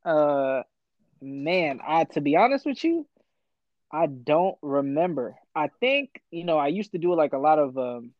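A man in his twenties, born in Ethiopia, chatting casually as a podcast guest, slangy and quick to laugh. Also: on the high side, dry recording, muffled recording. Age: 20s. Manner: relaxed, slangy, laughs often. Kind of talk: casual chat.

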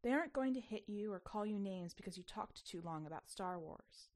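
A woman's voice: mid-range voice, expressive, fairly quick, a little mellow, close to an everyday voice. A woman voicing a well-meaning, coaxing mother who instructs and cajoles her child in a story reading. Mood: gentle pleading.

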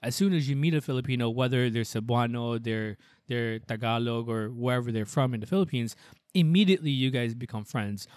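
A young man co-hosts a casual podcast, rambling along and mixing English with Tagalog. His voice sits at a middle pitch. The recording is dry and close. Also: fairly quick, a little mellow, no echo. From United States. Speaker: podcast co-host.

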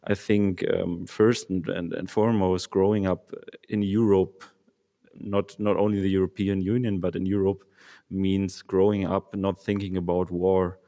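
A man's voice: deep voice, flat delivery, unhurried, mellow. A young man from Germany talking in a casual panel discussion, hesitant, with many fillers and restarts. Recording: long reverb.

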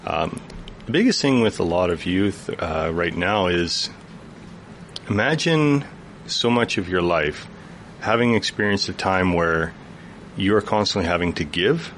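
A man speaks earnestly as a guest in a radio studio, his voice very low and deep. He explains at length, patient and thoughtful. A faint ambient hum sits behind him. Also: a bit bright. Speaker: youth worker guest. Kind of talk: radio interview conversation.

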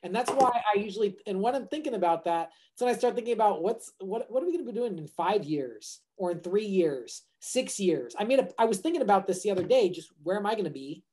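A man's voice: high voice, animated, fairly quick, hardly any pauses, a little mellow. A man co-hosting a podcast in casual chat, rambling and restarting his sentences as he thinks aloud. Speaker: podcast co-host.

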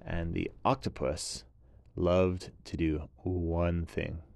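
A man narrating a children's bedtime story in a gentle, drawn-out way with repeated phrases. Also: low-pitched, level tone, creaky, no room sound.

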